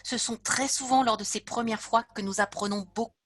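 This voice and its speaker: Measured woman hosting a club meeting. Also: fairly high pitch, fairly even intonation, fairly bright tone, slight background noise. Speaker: meeting host.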